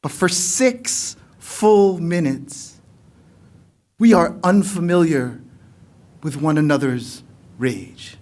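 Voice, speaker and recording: A middle-aged American man speaks as a poet at a live reading. His voice sits high for a man, and his delivery is expressive and emphatic. He talks into a podium microphone in an indoor room. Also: slow pace, pauses often. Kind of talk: casual introduction and poetry reading to an audience. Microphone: podium gooseneck microphone.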